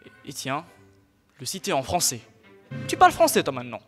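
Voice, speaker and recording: A teenage boy plays a grumbling comic sidekick in a radio play. His voice is on the high side, slangy and sarcastic, with some music behind it. He sounds put upon, yet goes along grudgingly. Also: huge pitch swings, a little fast, fairly bright tone. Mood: mocking. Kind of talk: student radio comedy acting.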